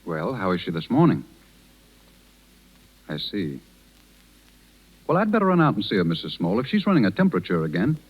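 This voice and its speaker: An actor playing a gentle, worried small-town doctor in a 1930s radio drama. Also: male voice, on the low side, huge pitch swings, a little fast, long pauses, slightly rough, exaggerated voice. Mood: polite, worried. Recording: dull 1930s radio recording.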